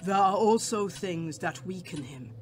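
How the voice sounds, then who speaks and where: An actor playing a grave, commanding professor who hunts vampires in a radio drama, solemn and formal in delivery. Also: male voice, high-pitched, lively intonation, unhurried, slight background noise. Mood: solemn, urgent.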